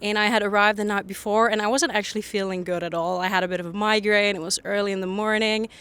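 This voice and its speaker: A woman's voice: mid-range voice, fairly even intonation, quick pace, fairly bright tone, clear, resonant tone, dry recording. A young woman from Finland, a Finnish native speaker, chatting as a podcast guest on an outdoor bench, laughing often and filling her talk with "like". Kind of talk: casual interview chat. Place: public bench by a harbour outdoors.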